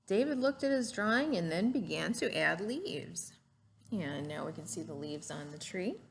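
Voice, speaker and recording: Lady reading a kids book out loud like a teacher, bit of gravel in her voice, animated, stops to explain and ask stuff, slight noise behind. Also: fairly low pitch, normal speed. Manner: didactic, asks the listener questions. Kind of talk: read-aloud storytelling with explanatory asides to children.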